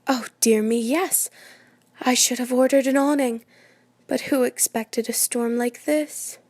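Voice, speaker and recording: A woman playing an excitable, imperious New York society hostess and mother in a radio play, chattering and dramatic. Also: a little high, unhurried, no room sound. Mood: bossy, fussing.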